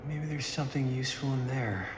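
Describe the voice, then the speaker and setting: A man's voice: mid-range voice, fairly quick, noisy background, lightly acted. A man playing a mild-mannered, hesitant and skeptical young man in a naturalistic video game cutscene.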